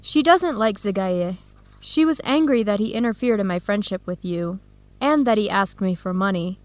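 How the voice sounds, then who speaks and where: A woman's voice: medium pitch, moderate pace, dry recording, natural voice. A young woman acting an earnest, disheartened student in her early twenties in a radio drama, enunciating carefully for language learners. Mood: torn, reflective. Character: disheartened 22-year-old Ethiopian-American woman student, earnest, torn.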